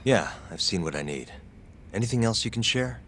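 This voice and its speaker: An actor playing an earnest, determined young lawyer in a video game. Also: male voice, lowish voice, animated, fairly quick, noisy background, lightly acted.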